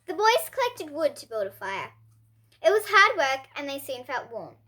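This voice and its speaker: Lively, high-pitched young girl reading aloud. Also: normal speed. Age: child. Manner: expressive, with emphatic character dialogue. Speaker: young presenter reading a children's book. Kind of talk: read-aloud bedtime story.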